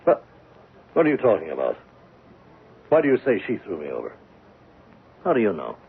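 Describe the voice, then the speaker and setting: An actor playing a menacing, self-righteous killer with a pompous, commanding manner in a 1970s radio drama. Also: male voice, low voice, wildly swooping pitch, normal speed, vocal fry, breathy edge, heavily acted. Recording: muffled, phone-like 1970s radio broadcast recording.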